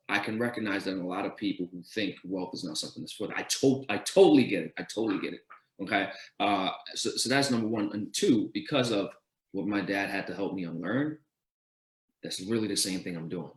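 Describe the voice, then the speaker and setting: A man's voice: on the low side, a little fast. Earnest man counting off his points. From Denmark. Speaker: guest entrepreneur. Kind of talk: answering questions at a town hall. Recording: roomy echo.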